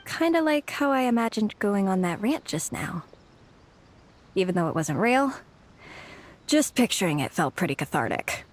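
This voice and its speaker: A woman's voice: mid-range voice, sing-song, moderate pace, pauses often, slight background noise, natural voice. A woman voicing a weary, self-doubting young working woman in an anime game, hesitant and earnest. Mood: rueful.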